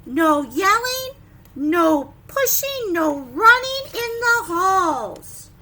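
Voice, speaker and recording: A woman voicing a stern, exasperated schoolteacher from a children's picture book, giving emphatic, drawn-out commands. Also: very high-pitched, big pitch swings, background noise, voice pushed up, full character voice. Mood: stern, scolding. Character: stern, exasperated female schoolteacher scolding a small boy. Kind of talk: picture-book read-aloud for preschoolers.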